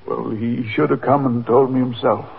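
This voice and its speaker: An actor playing a dejected, apologetic old father in a 1950s radio drama, speaking haltingly in a gravelly, husky voice. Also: mid-range voice, steady tone, natural voice. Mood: hurt, doubtful. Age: older. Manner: halting, apologetic, repeats himself. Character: dejected, self-effacing old father who feels a burden. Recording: muffled narrow-band 1950s radio recording.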